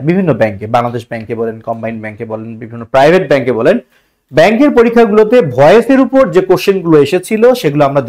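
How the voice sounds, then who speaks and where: A man's voice: fairly high pitch, darkish tone. A man with a Bangladeshi accent teaching a grammar lesson step by step, repeating points and posing rhetorical questions. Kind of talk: instructional lesson for exam students.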